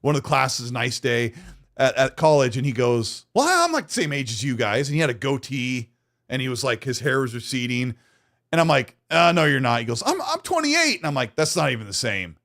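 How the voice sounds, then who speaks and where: A man in his late forties co-hosting a podcast, self-mocking and quick to laugh in casual banter. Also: mid-range voice, big pitch swings, a bit bright. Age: 40s. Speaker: podcast co-host.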